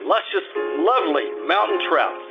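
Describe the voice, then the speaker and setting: A man's voice: high voice, very animated, moderate pace. A man playing a cool, wisecracking private eye in an old-time radio detective show, with wry, clipped tough-guy patter. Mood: wry narration. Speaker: amateur radio troupe actor. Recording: muffled recording with faint music.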